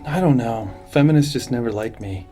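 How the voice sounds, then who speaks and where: A man's voice: mid-range voice, fairly quick, dark tone, soft background music, close to an everyday voice. An actor playing a pushy, needy, nervous young man on a date in an audio drama. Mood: whiny, nervous. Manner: needy, sulky.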